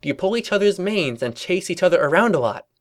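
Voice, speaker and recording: A performer voicing a bubbly, high-pitched excitable young female pony. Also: fast, close to an everyday voice. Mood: puzzled, chatty. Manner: gushing, chattering, exaggerated.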